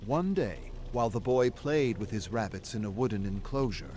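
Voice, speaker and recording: A man's voice: lowish voice, lively intonation, normal speed, darkish tone, slight background noise. A man streaming a game, reading lore passages aloud and breaking off to react casually and swear freely. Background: game music.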